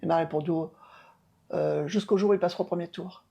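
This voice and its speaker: A woman's voice: on the low side, fairly even intonation, fast talker, gravelly, dark tone, slightly rough, dull audio. A woman in her seventies, an outgoing mayor, answering interview questions emphatically with many hesitations and restarts. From France. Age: 70s. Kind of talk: local TV interview.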